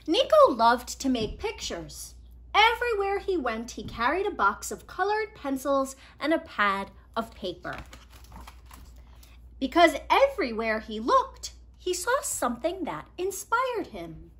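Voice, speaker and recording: A woman in her thirties reads a children's story in a high, bright voice. Her pitch moves widely and she leans on key words with deliberate drama. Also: very animated. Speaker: read-aloud storyteller. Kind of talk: expressive children's picture book reading. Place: small living room at home, indoors.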